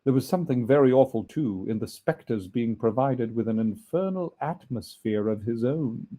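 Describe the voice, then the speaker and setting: A man reading a classic story aloud as an audiobook narrator, in an expressive, scripted storytelling style. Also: mid-range voice, moderate pace. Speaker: audiobook reader.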